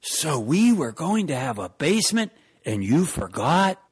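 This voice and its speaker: Grown guy doing a nosy doubtful kid, whiny, slow, swoopy husky voice, radio comedy skit. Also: a little high, very animated, heavily acted. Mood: puzzled, protesting. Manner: questioning, whiny protest. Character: inquisitive, doubtful young son pestering his dad. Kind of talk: scripted comedy radio sketch.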